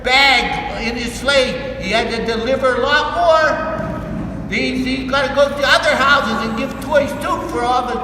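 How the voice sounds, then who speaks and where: High-voiced older man reading in an echoey hall. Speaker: volunteer storyteller. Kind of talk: reading a Christmas poem aloud to a group, with simple asides. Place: large echoey indoor room, recorded from far off. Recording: echoey hall, distant mic, noisy background.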